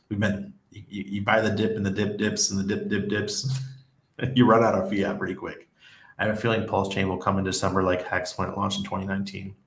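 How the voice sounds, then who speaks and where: A man in his forties with a Western New York accent, talking in a low voice with a fairly flat, even pitch. He chats casually and chuckles often while reading viewer comments aloud. His voice rings in an echoey home room. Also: vocal fry. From Western New York, USA. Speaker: livestream host. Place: small home room with neon shelf lights. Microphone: desk mic on a stand beside him.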